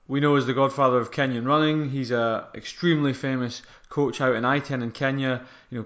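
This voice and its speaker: A man's voice: mid-range voice, a bit bright, dull audio. A man co-hosting a running podcast and interviewing a guest, chatty and rambling in casual chat.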